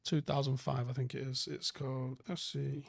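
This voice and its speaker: Male livestream host, softened Mancunian accent, creaky mid-pitched voice, close dry sound, blunt and sweary off-the-cuff chat. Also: little pitch movement, normal speed, no echo. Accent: northern English, softened Manchester. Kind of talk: casual chat, answering viewer comments.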